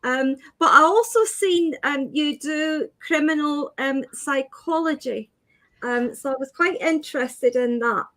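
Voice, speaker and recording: A woman hosting an interview, speaking softly and hesitantly with many filler sounds. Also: high-pitched, measured pace. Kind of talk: casual interview chat.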